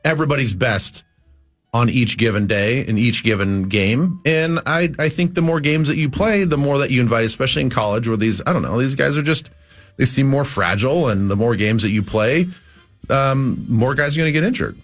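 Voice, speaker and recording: A man's voice: medium pitch, fairly quick, a bit bright, faint music behind. A man hosting casual sports talk on a morning radio show, repeating himself hesitantly as he reasons.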